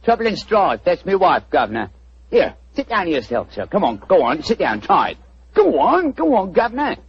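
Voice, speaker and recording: A cheeky Cockney furniture remover, talks in high, lively, slightly husky tones, all chummy coaxing and rhyming slang. The old radio recording sounds dull and muffled. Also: male voice, moderate pace, heavily acted. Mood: cheery, coaxing. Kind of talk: 1940s radio acting.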